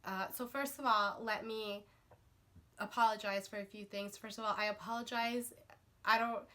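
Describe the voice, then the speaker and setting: A woman's voice: mid-range voice, steady tone, moderate pace, pushed and bright. A woman book vlogger getting over a cold, chatting to camera in a rambling way with lots of fillers and restarts. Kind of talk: casual chat to camera.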